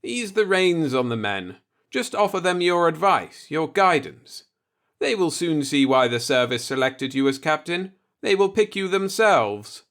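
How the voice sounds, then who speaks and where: A man's voice: a little high, sing-song, a little slow, a bit bright, pitched above the performer's own voice, full character voice. A man voicing an easygoing, self-assured veteran first officer of a spaceship in a sci-fi audiobook, speaking casually and expansively.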